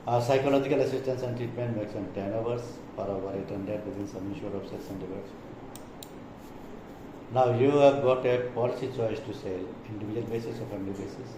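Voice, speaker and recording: A man's voice: fairly low pitch, fairly even intonation, a little fast. A man with an Indian accent explaining an insurance product as a trainer, in a didactic way, reading out points and then explaining them. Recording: echoey room with clear background noise.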